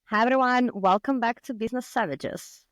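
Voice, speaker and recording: A woman's voice: on the high side, moderate pace, dry recording. A woman hosting a podcast, chatting casually with a guest and laughing often. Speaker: podcast host. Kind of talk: casual interview chat.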